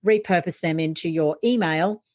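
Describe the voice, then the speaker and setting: A woman guest on a podcast interview, calmly explaining ideas and listing options in a steady, informative way. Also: fairly low pitch, normal speed. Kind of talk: casual interview, explaining tips.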